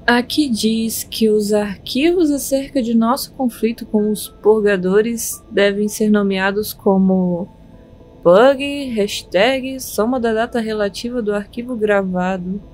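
A woman's voice: mid-range voice, slow, a little mellow, soft background music, natural voice. A woman playing a composed, wry superintendent in an audio drama, with a dry delivery. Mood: businesslike.

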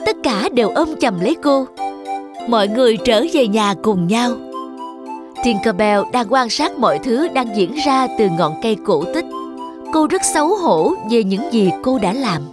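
High-pitched woman storyteller. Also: lively intonation, moderate pace, music in the background. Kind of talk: scripted fairy tale narration for children.

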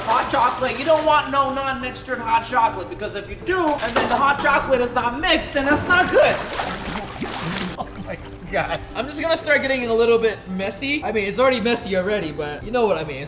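A young man hosting a goofy challenge vlog, joking and giggling as he talks to viewers from a hot tub on an indoor set. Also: extremely high pitch. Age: young adult. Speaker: YouTube host.

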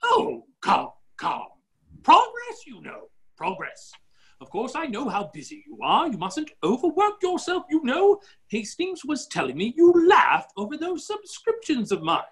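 A man's voice: extremely high pitch, huge pitch swings, unhurried, full character voice. A man in his fifties playing a hearty, scatterbrained aristocratic duke, jovial and rambling, in a comic stage play reading. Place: office room on a video call.

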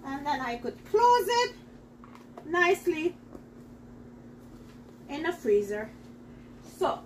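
Middle-aged woman, very high voice, excitable home-kitchen demo host, slightly off-mic. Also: takes it slowly, distant mic, a little hiss or hum, low-quality recording. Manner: chatty and excitable, talks straight to viewers. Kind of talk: live cooking demonstration. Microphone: camera across the counter.

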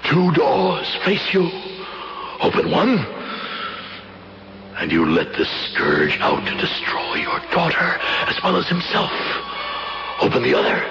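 Slow, menacing phantom tempter. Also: male voice, sits high, exaggerated voice. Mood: threatening. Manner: commanding, theatrical, drawn-out words. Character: menacing doppelganger spirit, a merciless tempter demanding a choice. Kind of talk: 1970s radio drama acting. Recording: dull vintage radio recording with music.

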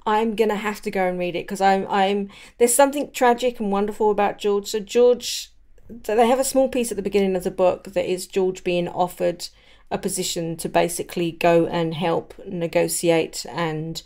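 A woman's voice: mid-range voice, steady tone, moderate pace, a little mellow. A woman, co-hosting a podcast in a casual book discussion. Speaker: podcast co-host.

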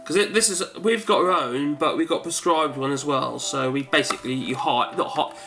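A wheezy man in his forties talks through a casual how-to demonstration from a cluttered home study. He rambles and corrects himself as he goes, in a slightly high voice. Music plays clearly behind him. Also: moderate pace, sharp tone. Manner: rambling, chatty, self-correcting. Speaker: home video maker.

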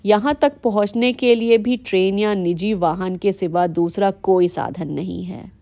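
A woman with an Indian accent reading scripted documentary-style narration for a vlog in a measured, explanatory way. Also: mid-range voice, fairly even intonation, vocal fry, mellow. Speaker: vlogger narrating.

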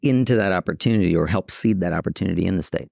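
A man's voice: low-pitched, rapid-fire, gravelly, a little mellow, no echo, clean studio sound. A man hosting a podcast, asking curious, open questions. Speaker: podcast host. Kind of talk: casual podcast interview. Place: office set, indoors. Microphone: table podcast mic.

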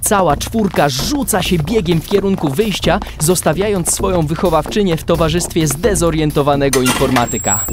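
A man narrating a scripted radio drama as storyteller. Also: a little high.

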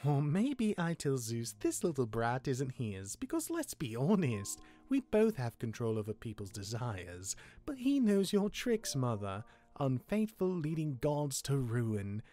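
A man playing a sardonic, awkward immortal demigod older brother, sarcastic and halting, in a fantasy game roleplay. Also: mid-range voice, huge pitch swings, mellow, soft background music. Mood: defiant, sardonic. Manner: sarcastic, halting when flustered.

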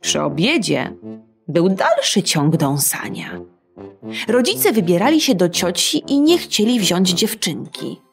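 A woman narrating a children's audiobook in an expressive storytelling manner. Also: fairly low pitch, takes it slowly, vocal fry, faint music behind. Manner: lively, animated storytelling.

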